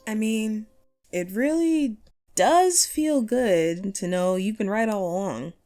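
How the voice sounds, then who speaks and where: A woman's voice: medium pitch, animated, slow, warm tone, soft background music. A woman playing a chatty, upbeat ghost of a radio host and ghost hunter in an audio roleplay, playful and musing in manner. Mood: musing, proud. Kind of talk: scripted one-sided audio roleplay, conversational.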